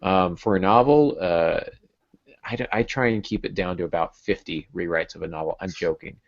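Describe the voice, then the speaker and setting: A man's voice: deep voice, moderate pace, vocal fry. A middle-aged American man chatting casually and jokingly as a podcast host, recorded over a video call. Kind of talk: casual conversational podcast chat. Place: small home room with bookshelf. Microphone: earbud headset on video call. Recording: dull, compressed video-call audio.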